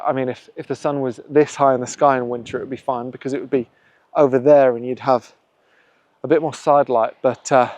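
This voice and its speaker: A man of middle pitch talks through his thinking as he photographs outdoors on a windy coast. His delivery is casual and unscripted, a photographer's running commentary on his own work. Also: darkish tone, no room sound. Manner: musing, thinks aloud while working. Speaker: photographer and channel host. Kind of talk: casual vlog narration on location.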